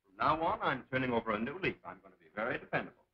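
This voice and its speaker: A man's voice: medium pitch, big pitch swings, racing, breathy edge. A man playing a glib young playboy hero in a 1940s film serial. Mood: breezy, casual. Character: breezy young playboy, secretly a crime-fighting hero. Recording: noisy, dull 1940s serial soundtrack.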